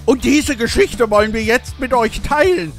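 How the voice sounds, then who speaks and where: A man's voice: very high voice, expressive, normal speed, a bit bright. A man playing a hyped muscle-bound gym bro giving a grandiose, theatrical intro. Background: guitar music.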